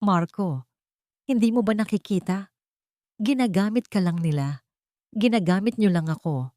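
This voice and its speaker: A woman's voice: mid-range voice, moderate pace, mellow, no room sound, studio quality, close to an everyday voice. A woman with a Filipino accent voicing a hurt, defiant pregnant wife in an audio story, pleading and indignant. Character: wounded, defiant young wife and expectant mother.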